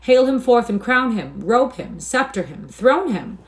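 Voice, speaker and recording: A woman's voice: on the high side, lively intonation, moderate pace, warm tone, voice pushed up, close to an everyday voice. A woman voicing a mocking male tinker in a storybook, exclaiming and pleading theatrically in archaic speech. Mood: jeering. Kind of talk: storybook character reading.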